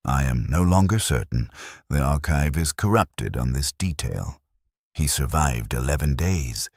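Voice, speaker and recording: A man narrating a scripted first-person war story in short, measured sentences with a detached, solemn delivery. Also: extremely low pitch, no room sound, clean studio sound.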